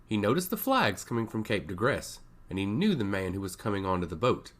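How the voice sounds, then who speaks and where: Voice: low voice, big pitch swings, a little fast. A narrator reading a scripted fantasy story.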